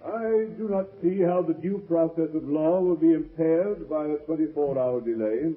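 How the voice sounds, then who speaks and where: An actor playing a stern judge who speaks in a measured, formal way, in a 1930s radio courtroom drama. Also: male voice, on the high side, close to an everyday voice. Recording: tinny scratchy 1930s radio recording.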